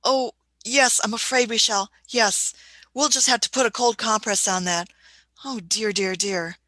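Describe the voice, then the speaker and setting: Woman acting kindly elderly time traveller, absent-minded, fussy old-fashioned phrasing, radio play, bright, dry sound. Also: fairly high pitch, steady tone, unhurried, no echo.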